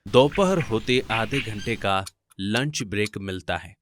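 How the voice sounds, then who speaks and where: A man's voice: fairly low pitch, animated, slow pace, a bit bright, a little hiss or hum. A man narrating a scripted family story in a theatrical, rhetorical style.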